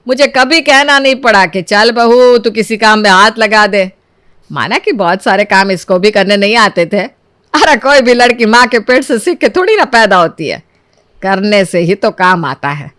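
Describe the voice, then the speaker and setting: A self-assured older mother-in-law from a cartoon family tale, put on by a woman. She lectures and persuades in a high voice with a dry, close sound. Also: a bit bright, no echo. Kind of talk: cartoon moral story voice acting.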